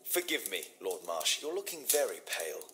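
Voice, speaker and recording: An actor playing a shrewd, courteous Victorian detective in a video game, formal and deliberate, with a British accent. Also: male voice, lowish voice, sing-song, pushed and bright, slight background noise. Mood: probing. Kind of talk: scripted video game dialogue acting.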